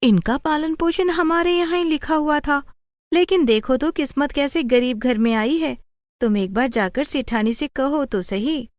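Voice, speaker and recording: A woman's voice: high voice, measured pace, dry recording, clean studio sound, voice pushed up. A woman voicing a fretful, complaining poor farmer's wife in a moral story. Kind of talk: dramatised Hindi moral story acting.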